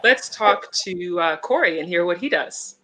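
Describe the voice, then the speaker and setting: Bright, chatty woman host for a live-streamed interview show. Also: lowish voice, lively intonation, unhurried, a little hiss or hum. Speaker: podcast host.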